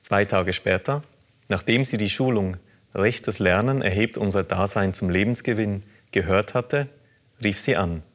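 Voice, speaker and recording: A man with a fairly low voice reads listener letters on air. His delivery is measured and earnest, in a steady scripted style. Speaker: presenter reading listener letters. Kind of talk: calm scripted reading of letters.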